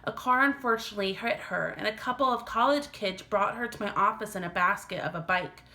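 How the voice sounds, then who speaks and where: Woman as a bright-voiced, gentle but firm mother. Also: medium pitch, brisk. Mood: explaining. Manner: explanatory, firm. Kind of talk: children's storybook read-aloud.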